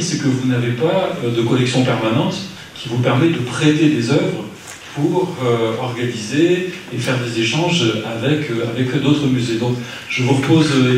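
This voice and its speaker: A man moderating a round table, carefully framing long questions for a panelist. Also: mid-range voice. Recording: distant mic in a reverberant hall with faint noise.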